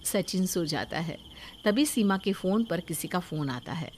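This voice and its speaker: A woman's voice: on the low side, lively intonation, unhurried, darkish tone, a little hiss or hum. A woman reading scripted narration for a moral story.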